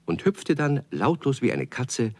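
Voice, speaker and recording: A man narrating a children's radio play as an expressive storyteller. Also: mid-range voice, unhurried.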